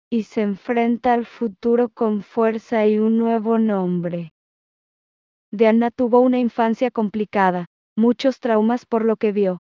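A woman reading a text aloud phrase by phrase, then repeating each phrase slowly and calling out the punctuation marks. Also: fairly high pitch, dry recording, muffled recording.

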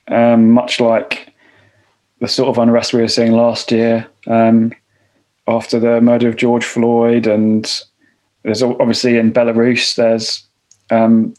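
A man hosting a podcast interview, asking questions in a measured way. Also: mid-range voice, darkish tone. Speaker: podcast host.

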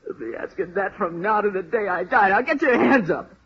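A man's voice: high voice, lively intonation, a little fast, husky, exaggerated voice. An actor playing a frightened, threatening teenage boy in a vintage radio drama, with a strained, shaky voice and tense, clipped delivery. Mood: threatening, desperate. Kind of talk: 1950s radio drama acting. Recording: muffled, hissy old radio recording.

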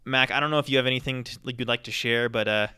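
A man's voice: medium pitch, fairly even intonation, quick pace, pushed and bright, smooth. A man co-hosting a sports podcast, giving opinionated commentary with lots of ums and restarts. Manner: opinionated ranting, frequent fillers. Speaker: podcast co-host. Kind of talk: casual podcast commentary on ski racing.

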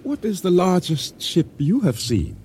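A man acting a cautious, law-abiding island provisioner in a radio drama, questioning and expressive, in formally phrased speech. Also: high-pitched, a little slow, a little hiss or hum, heavily acted. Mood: skeptical, puzzled.

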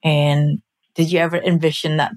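A woman's voice: low voice, flat delivery, normal speed, darkish tone. A woman hosting an interview podcast, moving between scripted introduction and conversational questions. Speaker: podcast host.

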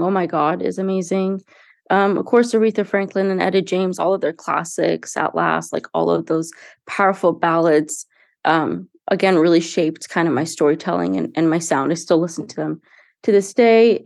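Chatty young woman, low voice. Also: creaky, no echo, studio quality. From United States. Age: young adult. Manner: earnest, chatty, frequent fillers and restarts. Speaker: singer-songwriter guest. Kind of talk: casual interview talk.

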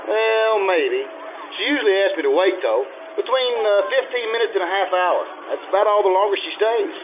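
Man acting a high-voiced radio cabbie. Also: lively intonation, normal speed. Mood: pondering. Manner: casual, hesitant, pondering. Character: easygoing, helpful male city cab driver. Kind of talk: 1950s radio drama acting. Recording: tinny noisy stage recording.

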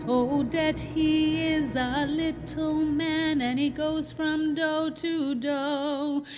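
A woman gospel singer delivering a spiritual in a slow, sung style. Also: sits high, little pitch movement, darkish tone. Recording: long reverberant hall, faint music.

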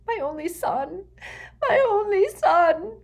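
A woman's voice: extremely high pitch, lively intonation, a little slow, pitched above the performer's own voice, full character voice. A woman voicing a desperate, tearful aristocratic mother in an audiobook, pleading and sobbing. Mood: sobbing, imploring. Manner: sobbing, beseeching. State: crying.

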